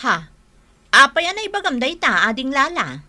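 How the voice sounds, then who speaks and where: A woman with an Ilocano accent playing a patient, puzzled older sister in a radio drama, gently reproachful. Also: high-pitched, sing-song, moderate pace, heavily acted. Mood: puzzled, hurt. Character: patient older sister, puzzled by teasing about her husband. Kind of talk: Filipino radio drama acting.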